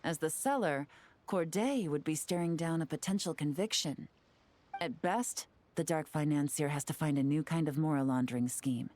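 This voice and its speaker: A woman's voice: deep voice, darkish tone, lightly acted. A woman playing a cool, confident and shrewd intelligence agent in a game, speaking in a composed, assured way. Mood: confident, calculating. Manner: composed, assured, measured explaining. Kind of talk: game character dialogue. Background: faint music.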